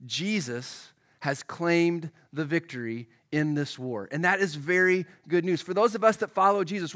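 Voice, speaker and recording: A man's voice: on the high side, a bit bright. A man preaching a sermon as a church pastor, a little froggy from a head cold, talking conversationally and putting questions to the congregation.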